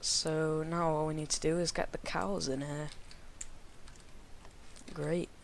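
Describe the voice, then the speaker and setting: A slow-talking man with a croaky, gravelly voice from a cold, chatting casually over his gameplay. He mumbles along and talks to the animals on screen. Faint keyboard clicks sit in the background. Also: on the high side, flat delivery, slight background noise. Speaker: gaming video creator.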